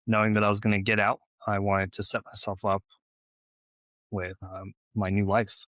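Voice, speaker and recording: A man's voice: fairly low pitch, little pitch movement, normal speed, fairly bright tone, no echo. A man who grew up in Los Angeles, answering questions conversationally as an interview guest. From born in Ukraine, grew up in Los Angeles, United States. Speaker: podcast guest, real estate investor and former Navy officer.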